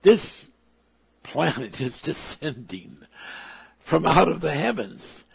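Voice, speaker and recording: A man's voice: on the high side, big pitch swings, slow pace, no room sound. A man preaching a sermon, emphatic, with his volume swelling and dropping. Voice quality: gravelly, husky.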